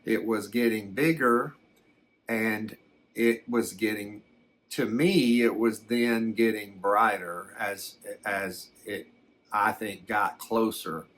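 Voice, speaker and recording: A man voicing a plainspoken, folksy fellow recounting a strange encounter in halting, rambling, unhurried ASMR storytelling. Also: fairly low pitch, fairly even intonation, a bit bright. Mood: musing, matter-of-fact. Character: folksy man recounting his alien abduction.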